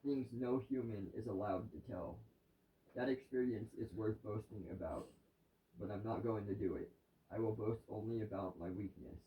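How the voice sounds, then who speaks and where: A man reading a devotional scripture passage aloud in a halting way, stumbling now and then, recorded at home. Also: on the low side. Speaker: reader of a daily devotional. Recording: distant, roomy, dull lo-fi sound.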